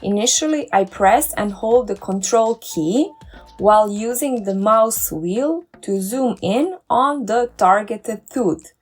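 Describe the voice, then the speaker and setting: A woman's voice: a little high, expressive, takes it slowly, husky, faint music behind. A woman who works as a dentist, narrating a scripted step-by-step tutorial in an upbeat, careful way. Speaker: dentist and doctoral researcher.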